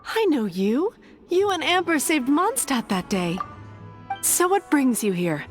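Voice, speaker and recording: Devout cathedral sister, prim, formal, higher-pitched, expressive intonation, game dialogue. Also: female voice, measured pace, faint music behind. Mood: formal, wary. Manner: polite, formal, firm. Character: prim, devout cathedral nun, polite but unyielding. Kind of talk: video game character dialogue.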